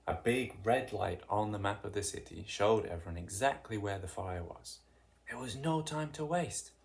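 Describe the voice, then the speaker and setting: A narrator reading a children's picture book aloud in an expressive, deliberate way with dramatic emphasis. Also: low-pitched, vocal fry. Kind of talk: read-aloud children's storytelling.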